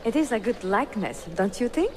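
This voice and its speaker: An actress playing a bookish, spirited young Italian woman bookseller, friendly and expressive, with an Italian accent, in a dramatic game cutscene. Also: on the high side, brisk, noisy background. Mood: playful.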